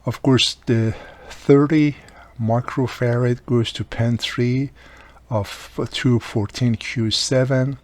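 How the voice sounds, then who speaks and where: A man's voice: medium pitch, fairly even intonation, takes it slowly, darkish tone. A man giving a casual step-by-step repair tutorial as a hobbyist, plain-spoken and instructive, with non-native-sounding phrasing and dropped articles. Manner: plain-spoken, instructive, often checking with "right?". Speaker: radio repair hobbyist demonstrating a restoration.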